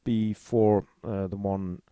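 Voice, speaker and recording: A man's voice: on the low side, fairly even intonation, slow, background noise. A man with an Icelandic accent giving match commentary on a tabletop miniatures game.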